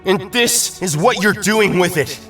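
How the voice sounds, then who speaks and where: A man's voice: sits high, measured pace, fairly bright tone. A man playing a firm, principled superhero in an audio drama, earnest, urgent and confrontational. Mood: challenging. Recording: distant mic, faint music.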